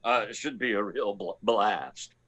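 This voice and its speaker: A man playing a hapless, insubordinate, hard-drinking slacker space pilot in a comic radio play, with halting, stammering delivery. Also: on the low side, a bit bright, dull audio. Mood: brazen, deadpan.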